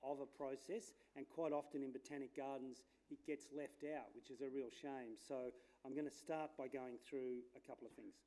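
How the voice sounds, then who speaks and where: A man, a landscape architect giving a loud, chatty conference talk with many fillers. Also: mid-range voice, fairly even intonation, normal speed, hardly any pauses. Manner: chatty, self-deprecating, with fillers; says his voice is loud. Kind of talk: informal conference presentation. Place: lecture room at a conference.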